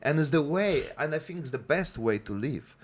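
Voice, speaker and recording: A man hosting a podcast, talking in animated, broken English and swearing casually. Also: fairly high pitch, moderate pace. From Poland. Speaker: podcast host. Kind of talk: casual conversation.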